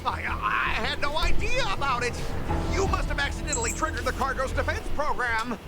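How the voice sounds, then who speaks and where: A woman's voice: sits high, animated, fairly quick. A woman voicing a flustered, defensive official in a video game, protesting innocence. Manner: stammering, protesting. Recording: game audio under loud music.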